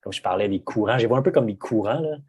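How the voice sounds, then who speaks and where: A man with a Québécois accent talks things through in a low, somewhat husky voice. He is reflective and often restarts his sentences. The tone stays casual, like a guest in conversation. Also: expressive, very fast, a little mellow, no echo. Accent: Québécois French.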